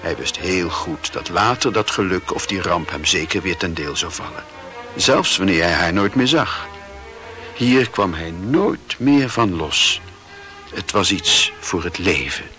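A man's voice: sits low, expressive, unhurried, sharp tone, faint music behind. A man reading scripted literary narration as the narrator of a radio play.

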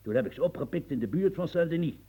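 An actor playing a proud, coarse old French marquis and marshal in a radio play, gruff and boastful, muttering one moment and shouting the next. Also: male voice, on the high side, expressive, fast. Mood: scheming. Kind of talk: radio drama acting.

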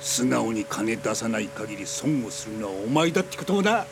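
An actor playing a thuggish, menacing man in a radio drama, with rough, coarse, threatening speech. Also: on the low side, very animated, moderate pace, background noise. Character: thuggish, menacing man extorting money from a young woman.

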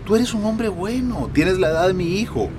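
A middle-aged Latin American police chief in a radio drama. His fairly high voice moves around a lot, reassuring yet in command, over faint background noise. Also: lively intonation, normal speed. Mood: firm, reassuring. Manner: reassuring, authoritative. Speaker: voice actor. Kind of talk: Spanish-language radio drama acting.

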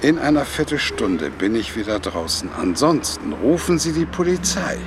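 An actor playing a prim elderly pensioner, formal, dryly polite and stubborn, in a radio crime drama. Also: male voice, mid-range voice, sing-song, normal speed, noisy background. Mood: urgent, businesslike. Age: older. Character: prim, plucky elderly widowed pensioner turned amateur sleuth.

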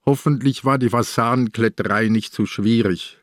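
An actor playing an old, shrewd police inspector in a radio crime drama, with a Swiss accent and a dry, chiding, matter-of-fact manner. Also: male voice, medium pitch, normal speed, no echo, natural voice. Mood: grumbling, chiding. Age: older.

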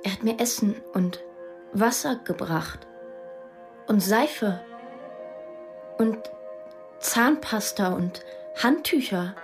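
A woman's voice: mid-range voice, expressive, takes it slowly, pauses often, over music. An actress playing a wistful but determined young refugee girl in a radio play, with a simple, childlike manner. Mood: hesitant, remembering. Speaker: actor in a radio drama.

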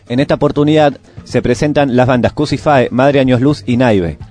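A man's voice: medium pitch, normal speed, darkish tone. A man with a Rioplatense Argentine accent presenting a radio show, reading scripted music news and listings, sometimes stumbling over words. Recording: music playing behind the voice. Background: music bed.

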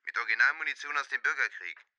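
A man's voice: medium pitch, animated, quick pace, bright, forceful tone, dull audio. A man playing a businesslike police detective giving a report in a German radio drama.

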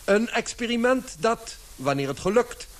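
Formele voorzitter met levendige, hoge stem. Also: male voice, extremely high pitch, lively intonation, normal speed. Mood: solemn, ceremonial. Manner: formal, oratorical. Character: formal British society chairman, courteous but firm. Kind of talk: radio play acting.

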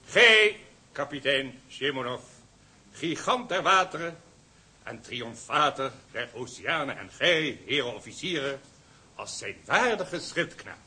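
An actor playing a pompous, jovial middle-aged consul, giving a florid ceremonial speech in a period drama. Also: male voice, mid-range voice, expressive, measured pace, bright, forceful tone. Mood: grandiose. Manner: bombastic, florid oratory. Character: pompous, jovial colonial consul making a grand toast.

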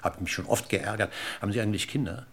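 Gravelly courteous, probing police inspector. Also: male voice, on the low side. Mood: politely insistent. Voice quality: gravelly, husky. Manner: formally polite, deliberate, needling questions. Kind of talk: German radio crime drama acting.

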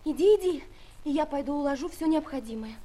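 Actress doing a sweet caring young girl, really high soft voice, old radio play style. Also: fast talker, natural voice. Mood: eager, bustling. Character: caring young giant girl, Gulliver's nurse. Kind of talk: Soviet audio play acting.

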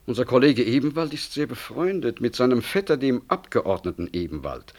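Swooping, self-important politician. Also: male voice, mid-range voice, very animated, fairly quick, a little mellow. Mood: measured, evasive. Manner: hedging, rhetorical, pompous. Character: smooth, self-important politician and doctor, evasive, grandiloquent. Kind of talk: 1960s German radio drama acting.

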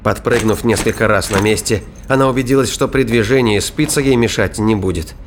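A man's voice: fairly low pitch, brisk, a little hiss or hum. A man reading scripted narration for a science fiction thriller audio drama. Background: music and sound effects.

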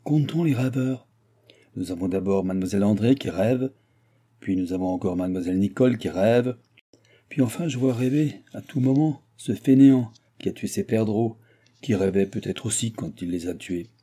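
A man's voice: fairly low pitch, expressive, normal speed, warm tone. A man voicing a cynical, proud old baron in an audiobook, ranting in sardonic exclamations. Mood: mocking. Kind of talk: audiobook character dialogue.

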